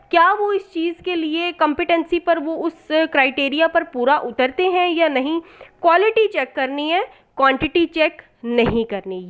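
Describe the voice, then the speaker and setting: A woman with a Pakistani accent giving an explanatory law lecture, didactic and often checking that listeners follow. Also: very high voice. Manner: didactic, repeatedly checking understanding. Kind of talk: explanatory exam lecture mixing in English legal terms.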